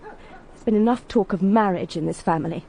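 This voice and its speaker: An actress with a British accent playing an anguished, bitter young widow in a radio drama. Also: female voice, medium pitch, normal speed, creaky, mellow, slight background noise, lightly acted. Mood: bitter, resolute. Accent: British English. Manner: emotional, pleading.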